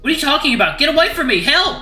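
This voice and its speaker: A performer as a panicked young human, high-pitched, piercing, exasperated, sputtering, audio story, roomy sound. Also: very animated, rapid-fire, pushed and bright, soft background music, full character voice. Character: panicked, indignant young human confronted by a monster.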